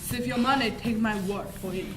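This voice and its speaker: A woman's voice: fairly high pitch, fairly quick, natural voice. A woman acting a blunt, dismissive, bored theatregoer in an amateur stage comedy. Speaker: student actor in a school play. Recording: echoey, noisy stage recording.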